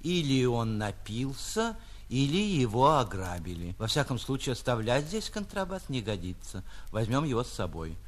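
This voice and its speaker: A male actor playing a matter-of-fact clarinet player, reasoning aloud in a plain, deliberate manner. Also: mid-range voice, sing-song. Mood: deliberating.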